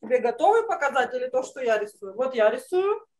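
Female art teacher, middle-aged, with a high-pitched voice, giving children encouraging step-by-step drawing instruction over a video call. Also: big pitch swings, brisk, a little mellow. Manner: encouraging, uses affectionate diminutives. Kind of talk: online drawing lesson for children. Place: indoor room, on a video call.

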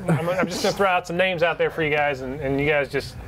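A man's voice: a little high, fast talker, a bit bright, a little hiss or hum, low-quality recording. A young man co-hosting a gaming show, bantering casually as he runs through releases. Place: indoor studio set with couches in a game shop.

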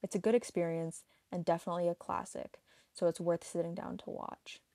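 A woman's voice: lowish voice, little pitch movement, fairly quick, gravelly, dark tone, no room sound. A woman reading a scripted explainer narration for an online video.

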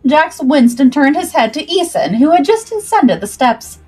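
A woman's voice: sits high, big pitch swings, moderate pace, a little mellow, faint music behind. A woman narrating a scripted adventure story expressively as the host of a livestream.

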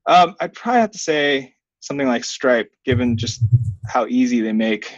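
A man in his thirties answering interview questions conversationally as a guest, in a measured, hedging way. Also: mid-range voice, lively intonation, no room sound, highs cut off. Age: 30s. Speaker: software company founder, interview guest. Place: small plain indoor room. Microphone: webcam video call, wearing headphones.

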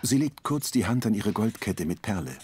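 A man's voice: fairly low pitch, lively intonation, normal speed, darkish tone, husky. A man reading scripted audio description, narrating the action on screen.

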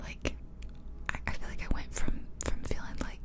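Female ASMR host, whispered delivery, intimate close mic, relaxed and informal gaming chatter. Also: normal speed. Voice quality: soft, breathy whisper. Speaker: ASMR video host and gamer. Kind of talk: ASMR whispering, casual rambling commentary on a game. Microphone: close ear-to-ear ASMR microphone.

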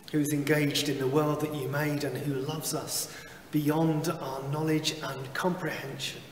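A man in his thirties leading worship as a chaplain, reading psalms and prayers in a slow, measured liturgical way, recorded in a small chapel from a camera at a distance. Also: medium pitch, echoey room, in a large hall, a little hiss or hum. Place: small chapel with wood-panelled wall. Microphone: far camera, seated behind a lectern.

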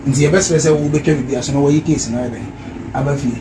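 A man's voice: fairly high pitch, fast talker, noisy background. A man earnestly appealing for a quarrel to be settled. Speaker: companion pleading for peace.

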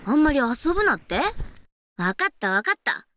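An actress playing a brash, teasing delinquent high school girl in an ASMR voice drama, with husky, breathy, rough tomboyish speech. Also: big pitch swings, moderate pace, pushed and bright, no room sound, studio quality, exaggerated voice. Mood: amused, teasing. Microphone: close-up ASMR microphone.

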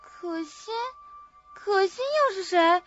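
A woman's voice: very high voice, fairly even intonation, slow pace, a bit bright, lo-fi sound, soft background music, exaggerated voice. A woman playing a frightened, confused young woman with memory loss, timid and childlike in a suspense radio drama.

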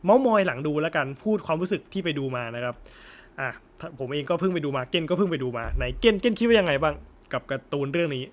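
A young man with a high voice hosts an informal chat. He explains his opinions politely, in an everyday conversational manner. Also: expressive, quick pace. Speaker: host of an anime discussion channel. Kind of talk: casual chat, after-viewing discussion.

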